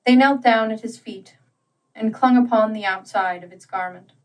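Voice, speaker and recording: A woman narrating a literary story as an audiobook, with measured, deliberate and dramatic phrasing. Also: on the high side, far from the mic, rough recording.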